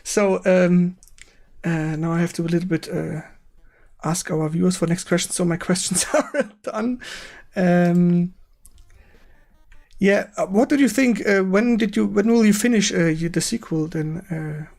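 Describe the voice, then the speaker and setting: A man with a German accent hosting a casual livestream interview in halting non-native English, often repeating words and agreeing quickly. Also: high voice, vocal fry, a little mellow. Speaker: stream host interviewing a game developer. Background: music in the background.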